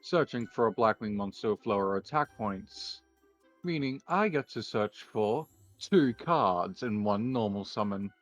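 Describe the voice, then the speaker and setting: A man improvising an anime card-duel roleplay, calling out his moves in a deliberate, mock-formal way. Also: lowish voice, animated, no room sound, faint music behind.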